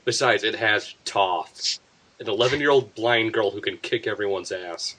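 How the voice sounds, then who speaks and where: Male co-host, low register, opinionated and blunt, casual commentary. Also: moderate pace, over a noisy crowd.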